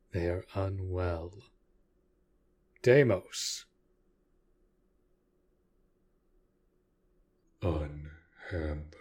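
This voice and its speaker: Guy doing a protective vampire master for an audio rp, slow n low, formal, bossy, measured. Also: husky, heavily acted. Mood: stern, commanding. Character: protective, commanding male vampire master of a manor. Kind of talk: scripted audio roleplay acting.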